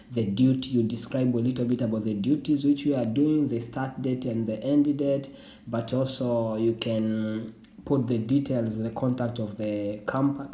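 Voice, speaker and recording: Young tanzanian man, swahili native, dark mellow tone, echoey room, rambling tutorial talk. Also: medium pitch. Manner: loose, repetitive explaining with restarts. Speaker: YouTube channel host. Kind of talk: screen-share tutorial. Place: indoor room. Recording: roomy echo.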